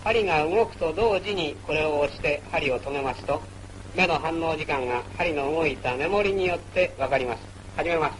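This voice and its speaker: A man's voice: fairly high pitch, moderate pace, fairly bright tone, slight background noise, rough recording. A man narrating a wartime military training film in a formal, instructional manner, on an old film soundtrack. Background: music.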